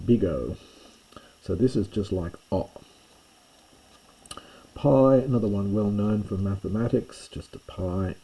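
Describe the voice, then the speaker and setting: Low mellow-voiced man teaching, stresses each letter. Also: breathy edge, poor audio. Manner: plain explanatory tone with fillers, stresses each letter name. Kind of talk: classroom lesson on a whiteboard.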